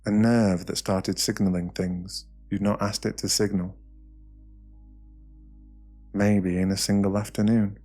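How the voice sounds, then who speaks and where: A British man with a deep, low voice reads a guided meditation. He speaks slowly and softly in short, soothing phrases, leaving space between them. Music plays behind him. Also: flat delivery. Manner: slow, hushed, soothing delivery with short phrases and pauses. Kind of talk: ASMR guided meditation, scripted narration.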